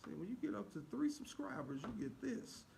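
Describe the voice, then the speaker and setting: A man's voice: high voice, big pitch swings, a little fast, creaky. A man co-hosting a livestream with playful, teasing banter and mock-churchy exclamations. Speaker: co-host greeting live viewers. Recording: noisy live video stream.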